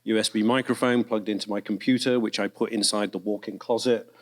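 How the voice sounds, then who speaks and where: A man telling stories in a relaxed, self-deprecating way as a voice actor on a festival panel. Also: lowish voice, fairly quick. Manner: chatty, self-deprecating storyteller. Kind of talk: casual panel talk. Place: fine arts center stage.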